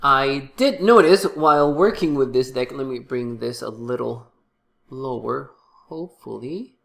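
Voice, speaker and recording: A young man who is congested from a cold, his voice gravelly and creaky at the low end. He talks casually to camera, halting often with fillers and false starts. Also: fairly bright tone, slight background noise. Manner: halting, many fillers and restarts. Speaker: tarot reader and channel host.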